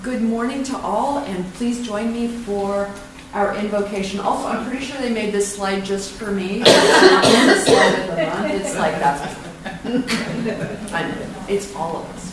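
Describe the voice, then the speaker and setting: A woman leading a church invocation and readings in a prayerful, unhurried, affirming way. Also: mid-range voice, animated. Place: church sanctuary. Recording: echoey room, far from mic, noisy.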